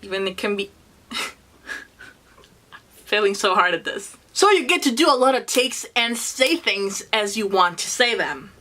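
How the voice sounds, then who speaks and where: A woman's voice: mid-range voice, animated, measured pace, long pauses, bright, forceful tone. A young woman with a Spanish accent, a native Spanish speaker, giving earnest, encouraging advice in a vlog to the camera. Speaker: booktuber.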